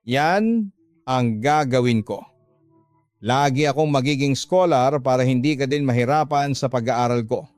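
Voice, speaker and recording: A man's voice: mid-range voice, measured pace, a little mellow, dry recording, studio quality, soft background music, lightly acted. A man playing a devoted, accepting young student sibling, speaking earnestly and tenderly in a radio drama.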